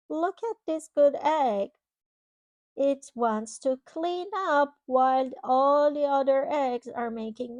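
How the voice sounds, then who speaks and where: Slow, high-pitched woman reading a storybook. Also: dry recording. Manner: expressive, explains the pictures to little listeners. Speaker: children's storybook reader. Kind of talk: read-aloud storytelling to young children with simple asides.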